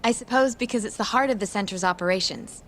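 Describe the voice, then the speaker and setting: An actress in an English game dub playing a polite, reserved young medical professional who explains things in a professional manner. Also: female voice, mid-range voice, quick pace, fairly bright tone, lightly acted. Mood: informative.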